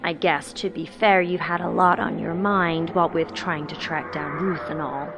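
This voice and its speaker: An actress playing a cryptic, controlling older woman in an audio drama, speaking in a measured, condescending, knowing way. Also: fairly low pitch, fairly even intonation, over music, close to an everyday voice. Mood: mocking politeness.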